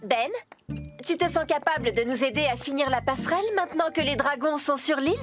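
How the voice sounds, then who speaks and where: A woman's voice: high-pitched, fairly quick, over music, natural voice. A woman playing a practical, no-nonsense young builder in a French cartoon dub. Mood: helpful.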